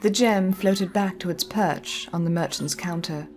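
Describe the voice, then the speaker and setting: Low-voiced woman narrating a story. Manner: measured, deliberate phrasing. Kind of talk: scripted dramatic story narration. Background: soft music.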